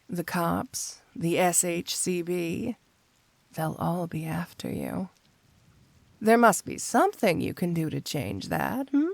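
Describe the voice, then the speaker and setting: Woman doing a seductive lady thief villain, asmr roleplay, really slow n teasing, super dry close sound. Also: fairly low pitch, dry recording, full character voice. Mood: coaxing, sympathetic.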